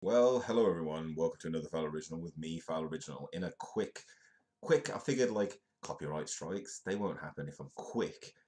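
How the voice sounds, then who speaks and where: Stuffy-nosed British man with a very deep voice. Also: animated, fairly quick, a little mellow. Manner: chatty, joking, reads news aloud. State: congested, stuffy nose. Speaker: host. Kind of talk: comedic live news show.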